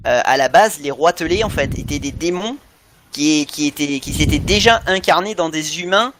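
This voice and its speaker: Enthusiastic higher-pitched man, noisy recording. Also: animated, normal speed. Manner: enthusiastic, repeats himself. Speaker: podcast co-host. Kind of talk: animated fan discussion of cartoons.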